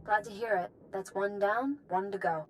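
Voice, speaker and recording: A woman's voice: mid-range voice, normal speed, slight background noise, lightly acted. A woman voicing a businesslike contact who briefs her captain in a video game, in a matter-of-fact manner.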